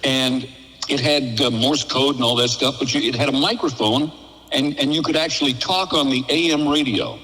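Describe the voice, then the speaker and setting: A man's voice: medium pitch, moderate pace, pushed and bright. An older man chatting casually over the telephone, telling folksy, good-humored anecdotes as a guest. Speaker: interview guest, radio veteran. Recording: phone call, reverberant.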